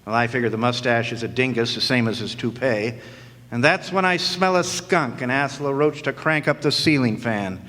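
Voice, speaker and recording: A wisecracking hardboiled private eye, played by a man narrates in a dry, sardonic drawl. His mid-pitched voice rings with long reverb from a hall, as in a live radio play. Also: moderate pace, a bit bright, close to an everyday voice. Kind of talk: 1940s-style noir radio acting. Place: live stage performance in a hall.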